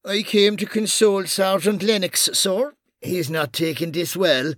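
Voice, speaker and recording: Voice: mid-range voice, measured pace, a bit bright, no room sound, clean studio sound, pitched above the performer's own voice, lightly acted. A performer voicing a brash, conniving and sardonic policeman in an audiobook, with a theatrical, commanding manner. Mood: mock solemn.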